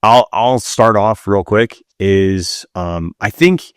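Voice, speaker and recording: Male podcast co-host, deep voice, casual explainer with opinions, very dry recording. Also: slow, clear, resonant tone, dry recording.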